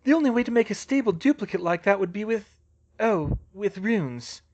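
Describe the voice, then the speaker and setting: A man plays a clever young witch heroine from a fantasy audiobook. She is defiant and highly strung, excitable as she thinks aloud, with a high voice and very lively intonation. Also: moderate pace, highs cut off. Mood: startled, anxious.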